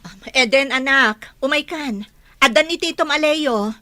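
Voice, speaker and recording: Woman acting a bright, high-voiced caring mother. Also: unhurried, a little hiss or hum. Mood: tender. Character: caring wife and mother, tender, concerned. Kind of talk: Filipino audio drama acting.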